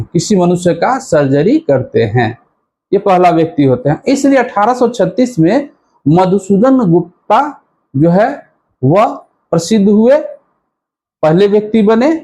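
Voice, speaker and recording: A man with a high-pitched voice gives an explanatory lesson as a teacher. He speaks slowly and didactically, restating each key point in other words. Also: darkish tone. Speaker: history teacher. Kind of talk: explanatory exam-prep lesson.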